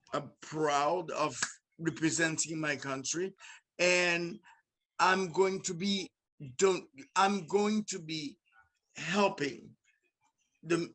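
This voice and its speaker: A man's voice: on the high side, a bit bright, rough recording. A man with a Haitian accent, a musician guest talking earnestly in casual conversation and often restarting and repeating words.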